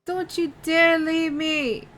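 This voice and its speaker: A woman's voice: very high voice, steady tone, slow pace, a bit bright, soft background music. A woman streaming a game, chatting casually in a playful, exclaiming way. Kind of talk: casual game livestream chat, reading game dialogue aloud. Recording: noticeable background noise.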